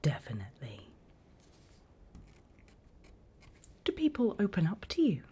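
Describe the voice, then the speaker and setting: A British woman playing a sly, greedy female demon auctioneer in a whispered ASMR roleplay, with a soft breathy voice and a deliberate, coolly questioning manner. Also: on the low side, wildly swooping pitch, full character voice. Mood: dry, teasing.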